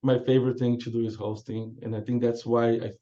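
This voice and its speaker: A man's voice: medium pitch, level tone, fairly quick, mellow, highs cut off. A man, chatting casually as a chef guest in an interview, with easy fillers and restarts. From southern Brazil.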